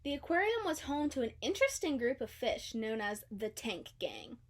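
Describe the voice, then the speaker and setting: A woman with a fairly high voice reads a children's story aloud. Her delivery is scripted storybook narration. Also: very animated, moderate pace. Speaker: storybook reader.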